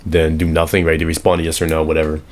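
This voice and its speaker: A young man with a hoarse voice, just over an illness, giving a rambling casual tutorial on automations and correcting himself as he sketches on an iPad. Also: extremely low pitch, monotone, quick pace. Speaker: automation consultant. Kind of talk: casual screen-share tutorial.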